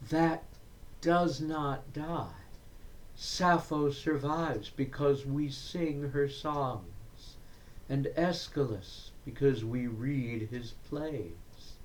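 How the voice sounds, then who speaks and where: A man giving a dramatic recitation of Victorian verse, deliberate and declamatory, breaking the lines into short phrases with pauses. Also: fairly high pitch, expressive, a little mellow. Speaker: reader of poetry.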